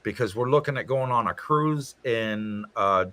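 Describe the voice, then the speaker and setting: A man's voice: medium pitch, a little slow, a bit bright. Chatty man hosting a livestream. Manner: chatty, reads out comments and answers them with asides. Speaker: livestream host answering viewer questions. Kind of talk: casual livestream chat. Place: home in Thailand.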